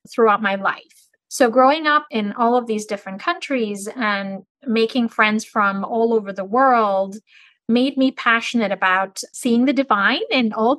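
A middle-aged woman from Turkey, a business school dean, answering interview questions in a measured, articulate, explanatory way. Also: fairly high pitch, a bit bright, no room sound.

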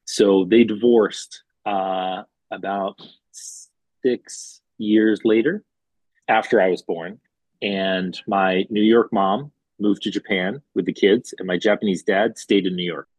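A man in his forties, from Hawaii, a restaurant executive telling his life story in a relaxed, chatty way with fillers. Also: lowish voice, slow pace, no room sound, rough recording. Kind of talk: conversational storytelling.

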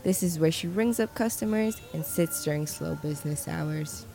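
Low-voiced woman reporter narrating. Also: moderate pace, gravelly, mellow, soft background music. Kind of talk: scripted news narration.

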